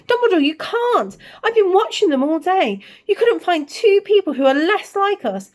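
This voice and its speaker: A woman's voice: extremely high pitch, very animated, moderate pace, darkish tone, voice pushed up. A woman voicing an anxious, stern older woman professor in a storybook, with exclaiming, emotional delivery. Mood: indignant. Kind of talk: storybook character reading.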